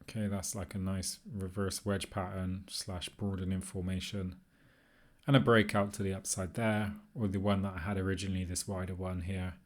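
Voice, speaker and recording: A man's voice: low-pitched, little pitch movement, moderate pace. A man giving an informal, unscripted tutorial, thinking aloud in an easygoing, tentative way as he explains. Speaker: trading tutorial presenter.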